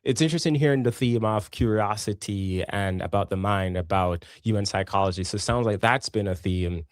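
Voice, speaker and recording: Low-voiced man hosting, dry close sound. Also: fairly quick, no echo. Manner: warm welcoming, curious questioner. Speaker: podcast host. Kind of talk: casual interview hosting.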